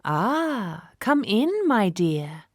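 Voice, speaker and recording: Storybook wise old forest woman, played by a younger-sounding woman narrator: soft, kindly, unhurried, dry sound. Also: mid-range voice, big pitch swings, no room sound. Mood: welcoming. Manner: kind, gentle, unhurried.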